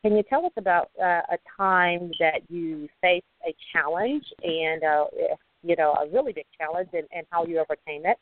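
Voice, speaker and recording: A woman's voice: lowish voice, moderate pace. A woman hosting an online radio talk show, chatty and upbeat with many fillers. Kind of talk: casual online radio interview. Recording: dry tinny phone line.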